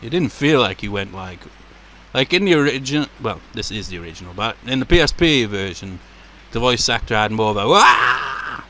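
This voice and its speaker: A man with a deep voice giving casual, rambling commentary as a let's play host, laughing and swearing as he goes. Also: pushed and bright, slight background noise. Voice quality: naturally deep voice.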